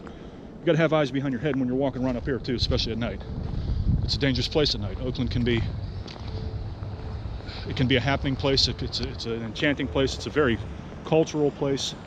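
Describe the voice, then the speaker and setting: Male, 40s, street vlogger. Sick with a head cold, blunt and profane, rambling. Recorded on a handheld phone outdoors at night over clear traffic noise. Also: mid-range voice, fast. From Pittsburgh, United States. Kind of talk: walking street vlog.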